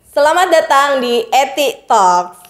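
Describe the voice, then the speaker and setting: A young woman hosting a casual interview, with frequent soft murmurs of agreement. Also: sits high, very animated, takes it slowly. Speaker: interview host.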